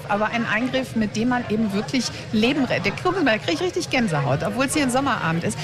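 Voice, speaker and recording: A female radio reporter interviewing people on location at an outdoor festival, with enthusiastic, gushing reactions. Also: a little high. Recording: crowd noise behind voice.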